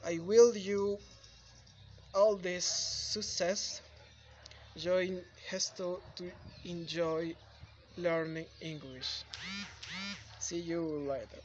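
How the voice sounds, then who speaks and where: A young man from Ecuador with a Spanish accent, giving a prepared testimonial in careful, halting learner English, recorded outdoors on a phone. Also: high-pitched, fairly even intonation, a little hiss or hum, rough recording. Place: outdoors under open sky.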